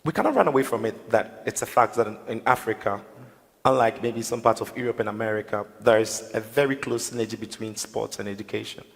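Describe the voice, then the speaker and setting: A man moderating a conference panel, asking quick, conversational questions. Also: fairly low pitch, vocal fry. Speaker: panel host. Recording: long hall reverb.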